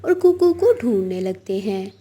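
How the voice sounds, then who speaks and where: Slow-paced woman narrating a children's story. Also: medium pitch, sing-song, mellow, breathy edge.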